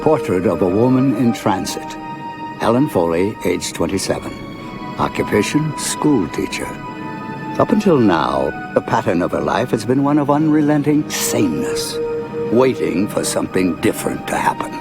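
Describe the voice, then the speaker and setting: An actor playing a solemn, knowing narrator of an eerie anthology, speaking in a clipped, portentous, dramatic way. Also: male voice, lowish voice, very animated, moderate pace, gravelly, over music. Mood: solemn, ominous.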